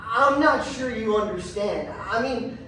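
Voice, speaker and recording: An actress plays an evasive female official, speaking slowly in a placating, hesitant way. The stage performance is heard from a distance in a very echoey space. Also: fairly high pitch, animated, sharp tone. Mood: defensive. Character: defensive, evasive official excusing harsh child therapy. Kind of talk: competitive stage readers theatre. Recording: echoey room, distant mic, faint noise.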